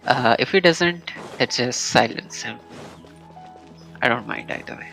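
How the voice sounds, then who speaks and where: Very low, creaky woman streaming a game. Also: unhurried, fairly bright tone. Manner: muttering asides in reply to game dialogue. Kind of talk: casual live gameplay commentary. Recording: faint game music behind.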